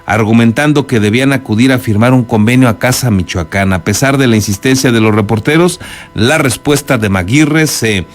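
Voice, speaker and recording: A man's voice: mid-range voice, fairly quick. A man with a Mexican accent anchoring a news programme, mixing reports with spoken commentary. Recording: music bed behind the voice. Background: background music.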